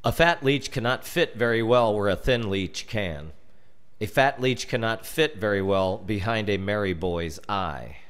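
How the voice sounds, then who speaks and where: An American man narrating a scripted children's horror story in a deliberate, dramatic storytelling manner. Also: on the low side, a bit bright, smooth.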